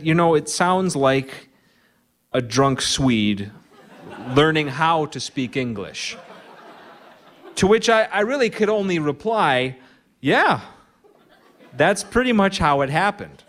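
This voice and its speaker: A middle-aged man with a Minnesota accent, hosting a live radio show from a barn with a dry, wry comic monologue. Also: mid-range voice. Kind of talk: humorous monologue to a live audience.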